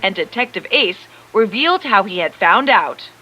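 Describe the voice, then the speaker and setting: A woman reading scripted narration for an animated story aimed at young listeners. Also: medium pitch, moderate pace, lo-fi sound. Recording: background music under the voice.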